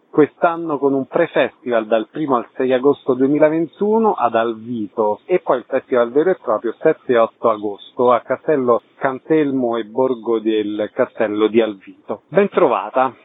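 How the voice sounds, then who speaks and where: A man's voice: medium pitch, moderate pace, slightly rough, dry recording. A man hosting a radio interview, presenting guests and asking questions. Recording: slightly dull, lo-fi sound.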